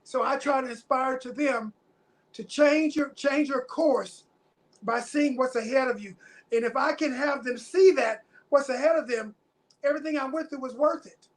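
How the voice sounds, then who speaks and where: Earnest, high-pitched guest in their fifties. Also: normal speed. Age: 50s. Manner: earnest, emphatic repetition. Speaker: interview guest, motivational speaker. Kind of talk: personal testimony in a casual interview.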